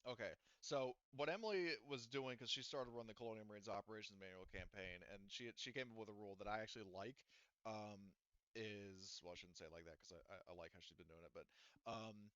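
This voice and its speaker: A man's voice: lowish voice, fairly quick, fairly bright tone, clean tone, no echo, muffled recording. A man acting as game master, talking loosely and swearing freely as he walks players through end-of-session rules. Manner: casual, swears freely, lots of fillers. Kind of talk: casual tabletop game talk, running the session.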